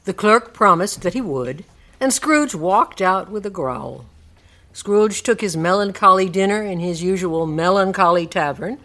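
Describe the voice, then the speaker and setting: Female narrator, animated pitch movement, medium register, storybook delivery, scripted radio play.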